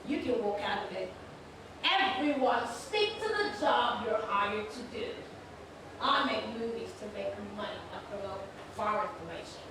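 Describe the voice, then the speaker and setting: A woman playing a condescending, domineering film director in a stage monologue, with a measured, sarcastic, authoritative delivery. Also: medium pitch, lively intonation, voice pushed down, natural voice. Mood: condescending, cold. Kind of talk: theatrical solo stage monologue performance. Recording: echoey hall, distant mic, noisy.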